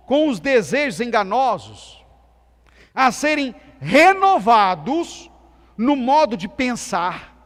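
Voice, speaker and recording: A man from Minas Gerais with a softened Minas Gerais accent, preaching a sermon as pastor with emphatic swings between loud and soft delivery. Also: extremely high pitch. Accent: Brazilian Portuguese, softened Minas Gerais. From Minas Gerais, Brazil. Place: church sanctuary. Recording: church hall reverb.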